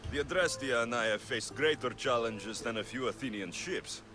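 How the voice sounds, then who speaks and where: A man's voice: medium pitch, normal speed, noisy background, close to an everyday voice. A man voicing a confident young Greek mercenary hero in a game cutscene, talking in a cool, dry, self-assured way. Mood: steady. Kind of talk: video game cutscene acting. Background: orchestral score.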